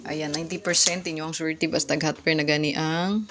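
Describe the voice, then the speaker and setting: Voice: medium pitch, flat delivery, brisk, a bit bright. A vlogger with a Visayan Filipino accent explains things casually and informally, repeating key words and addressing viewers politely. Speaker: vlogger giving number tips. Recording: clearly noisy amateur recording.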